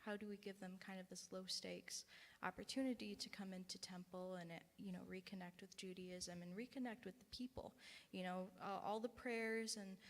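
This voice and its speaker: Young adult woman, soft voice, vocal fry, handheld mic in a reverberant hall. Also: medium pitch, fairly even intonation, a little fast. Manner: soft-spoken, speaks of herself as having a soft voice. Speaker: congregant speaker. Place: large synagogue hall. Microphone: handheld microphone.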